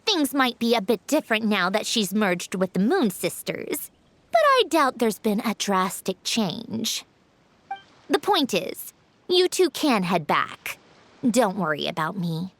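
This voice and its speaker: A cool, dry-witted schemer. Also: female voice, medium pitch. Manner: measured, dry, calm. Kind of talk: video game voice acting. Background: game music.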